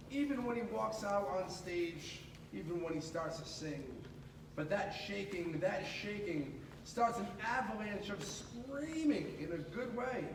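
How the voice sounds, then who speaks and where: Echoey high-voiced man reading a storybook aloud. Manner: dramatic, with pauses and drawn-out emphasis. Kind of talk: animated read-aloud of a children's picture book. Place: echoey room at a school. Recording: echoey room, distant mic, clear background noise.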